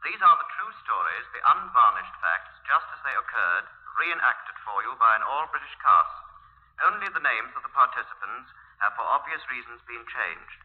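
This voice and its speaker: A man's voice: mid-range voice, very animated, normal speed. A man delivering a portentous, emphatic scripted announcement in 1950s radio style. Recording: muffled narrowband vintage radio recording.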